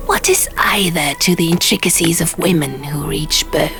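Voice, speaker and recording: Woman voicing a creaky, musing stone gargoyle. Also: low voice, moderate pace, a bit bright, faint music behind. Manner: pondering, ornate rhetorical questions. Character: bat-like stone gargoyle, musing, contrary, absent-minded male guardian. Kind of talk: audiobook character voice.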